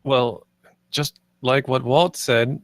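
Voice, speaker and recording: Guy acting a stubborn park designer at a table read, pleading, mid-pitched, dry mic. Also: steady tone, slow pace, fairly bright tone, no echo. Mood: earnest, pleading. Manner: pleading, insistent. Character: earnest, stubborn male theme-park designer, exasperated. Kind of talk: live screenplay table read.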